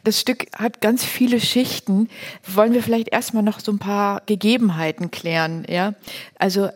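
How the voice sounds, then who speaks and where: A woman's voice: mid-range voice, steady tone, normal speed. A woman hosting a radio-style interview, summarizing in an orderly way and guiding with questions. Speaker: host.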